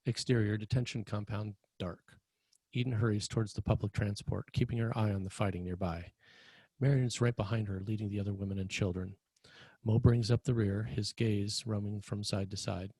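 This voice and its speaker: A man narrating scene directions for a screenplay table read, with a slightly husky voice. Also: lowish voice, fairly even intonation, fairly quick, mellow, no room sound. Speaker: narrator reading stage directions.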